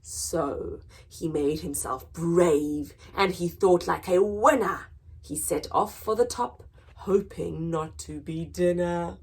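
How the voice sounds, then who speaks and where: A woman reading a children's picture book aloud in a dramatic, expressive storytelling manner, moving between hushed and emphatic delivery. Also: fairly low pitch, slow, husky. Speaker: story time reader.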